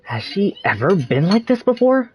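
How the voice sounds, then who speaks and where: Someone reading game dialogue aloud in a hesitant, questioning, gently concerned way. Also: medium pitch, sing-song, normal speed, dull audio, faint music behind.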